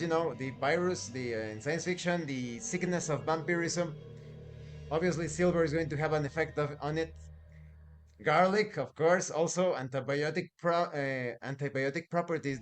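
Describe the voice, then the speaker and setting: A man giving an informal spoken review as a show host, explaining in a halting way with conversational asides. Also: fairly high pitch. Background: background music.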